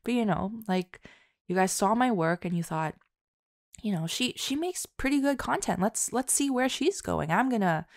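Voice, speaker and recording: A woman talking casually and from the heart to her listeners, halting and self-deprecating, in an informal way. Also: medium pitch, expressive, vocal fry, no room sound, studio quality. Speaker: audio roleplay and ASMR creator.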